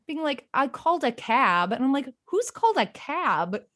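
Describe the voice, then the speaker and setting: A woman's voice: high-pitched, very animated, normal speed, a bit bright. A woman talking casually as a podcast guest, chatty and given to acting out little quotes as she explains. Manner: chatty, illustrates points with mimicked quotes. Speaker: podcast guest, co-creator and romance writer. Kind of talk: casual interview conversation.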